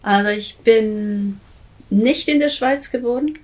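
A woman's voice: on the high side, darkish tone. A woman with a Swiss German accent, speaking thoughtfully as a missionary guest in a studio podcast interview. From born in Honolulu. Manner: slow, thoughtful with fillers.

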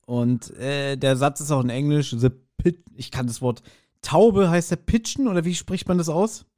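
A man co-hosting a podcast, casually discussing and speculating at length with pauses and self-corrections. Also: on the high side, warm tone. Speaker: podcast co-host. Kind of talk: casual audio drama discussion.

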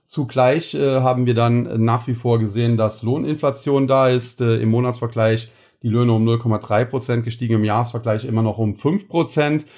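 A man's voice: medium pitch, fairly even intonation, moderate pace, few pauses, darkish tone. A man with a cold, hosting a podcast and explaining stock market news casually, with frequent filler sounds. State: has a cold. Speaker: podcast host.

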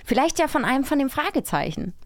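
A woman co-hosting a podcast, chatting casually. Her fairly high voice moves with lively intonation, playful and whimsical, in a dry close sound. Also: fast talker, dry recording. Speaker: podcast host. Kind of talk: casual chat.

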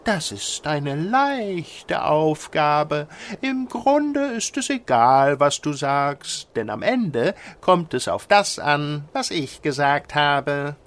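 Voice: medium pitch, very animated, takes it slowly, few pauses. An actor voicing a sly little devil in a fairy-tale radio play, cocky, scheming and dismissive. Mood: smug, scheming. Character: sly little devil giving selfish bad advice.

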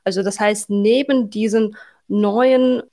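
A woman's voice: medium pitch, steady tone, takes it slowly, warm tone. A woman in her thirties from Uzbekistan, speaking as she tells her story in a casual, explanatory interview style. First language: not German. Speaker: interview guest.

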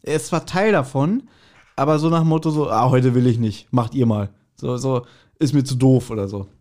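A man's voice: mid-range voice, brisk, a little mellow. A man, a podcast co-host telling anecdotes in casual chat, amused and acting out short quotes.

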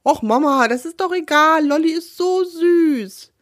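Woman doing a hoarse little boy, eager. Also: high voice, a little slow, voice pushed up. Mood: pleading. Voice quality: hoarse from a cold. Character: four-year-old boy, cheerful and doting.

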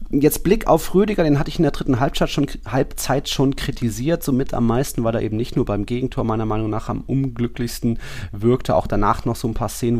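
A man's voice: medium pitch, darkish tone. A man co-hosting a football podcast, giving casual analysis in a loose, rambling, colloquial way.

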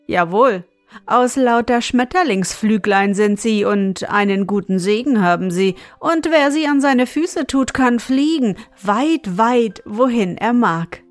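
A woman's voice: on the high side, animated, slow, no echo, soft background music. A woman voicing a kindly, reassuring male wizard who speaks solemnly and instructively in a fairy-tale reading. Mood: proud. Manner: solemn, instructing, chanting rhymed spells.

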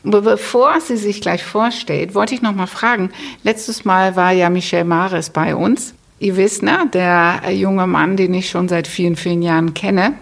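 A woman's voice: fairly low pitch, fairly even intonation, a little slow, vocal fry. A woman hosting an interview podcast in a new studio, talking in a chatty, enthusiastic way. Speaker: podcast host.

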